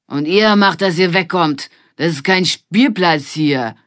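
A woman voicing a rude, drunken junkyard man in a children's audiobook, with a rough, threatening manner. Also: lowish voice, unhurried, a bit bright, dry recording, studio quality, voice pushed up. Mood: angry, barking.

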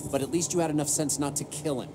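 An actor playing a cold, stern government official in a video game, clipped and reproachful. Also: male voice, on the high side, fairly even intonation, fast, noisy background, natural voice. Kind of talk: video game cutscene acting. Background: loud music.

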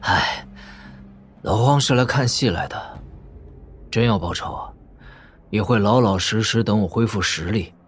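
An actor playing a weary, nonchalant young warrior lord in a Mandarin audio drama, speaking in an unhurried, offhand way. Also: male voice, lowish voice, faint music behind, natural voice. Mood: weary, unconcerned.

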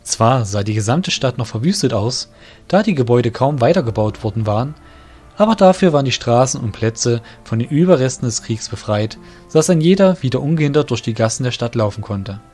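Male fantasy storyteller over soft music. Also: medium pitch, expressive, brisk, husky. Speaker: narrator. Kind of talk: scripted fantasy audio drama narration.

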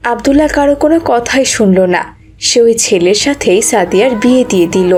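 A woman's voice: a little high, animated, fast talker, a little mellow, soft background music. A woman reading scripted narration for a story in the role of narrator.

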